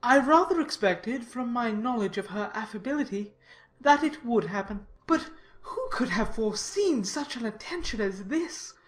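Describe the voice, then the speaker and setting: A woman's voice: fairly high pitch, animated, moderate pace, natural voice. A woman voicing a pompous, obsequious and long-winded clergyman in a dramatized audiobook, with effusive, deferential delivery. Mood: gushing, awed. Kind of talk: dramatized audiobook character acting.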